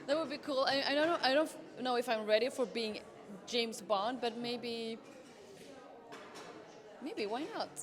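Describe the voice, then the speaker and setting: A woman's voice: on the high side, unhurried, pauses often. A woman from Sweden, an actress, talking in a playful, light way. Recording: crowd chatter behind.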